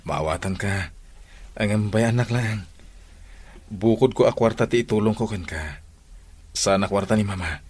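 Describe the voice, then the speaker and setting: An actor with an Ilocano accent playing a devoted, generous young suitor in a radio drama. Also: male voice, lowish voice, normal speed, pauses often, darkish tone, husky. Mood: tender, earnest.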